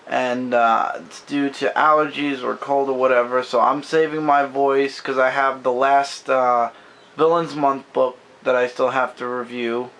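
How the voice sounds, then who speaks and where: Hoarse guy behind the camera, gravelly fry, rambling and fixing himself as he goes, bedroom. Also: medium pitch, fairly even intonation, unhurried, a bit bright. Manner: rambling, loose and self-correcting. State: losing his voice, hoarse. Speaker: comic review co-host behind the camera. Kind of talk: casual comic book review chat. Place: bedroom at home. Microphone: camera mic, speaking from behind the camera.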